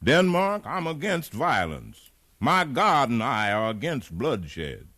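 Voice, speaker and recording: A gentle man of the gospel in a 1950s radio play. He is earnest and unhurried, with a lively rise and fall in a dry, close sound. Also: medium pitch, no echo, exaggerated voice. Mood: firm, grave. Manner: earnest, measured, pious. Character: gentle, devout, peace-loving slave man of the gospel. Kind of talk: 1950s radio drama acting.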